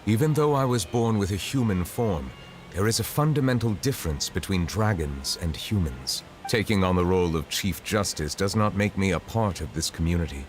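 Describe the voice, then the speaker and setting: A man voicing a dignified dragon in human form, a chief justice in a video game, with formal, measured, courteous speech. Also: low-pitched, lively intonation, soft background music. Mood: solemn.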